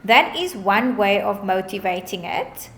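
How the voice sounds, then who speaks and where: A woman's voice: medium pitch, animated, unhurried. A woman, a bond originator talking a client through a home loan in an upbeat, encouraging, reassuring way. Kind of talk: client consultation call.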